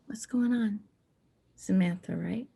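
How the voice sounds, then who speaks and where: Woman acting earnest teenage girl, husky, crackly low register, drama read-through, dry sound. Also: slow, mellow, no room sound, heavily acted. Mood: gentle. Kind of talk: screenplay table read.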